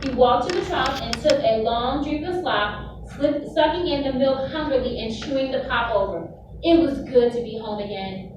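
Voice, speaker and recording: A woman's voice: sits high, moderate pace. A woman teacher reading aloud and leading a discussion with her class. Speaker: middle school teacher. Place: indoor classroom. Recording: very echoey classroom, distant mic, noisy.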